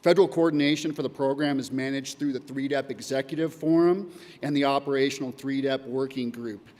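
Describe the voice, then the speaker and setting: A man's voice: medium pitch, steady tone, fairly quick, a little mellow, long reverb tail. A man giving a prepared conference presentation as a coordinator, reading steadily with occasional fillers. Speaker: data acquisition coordinator. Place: conference hall.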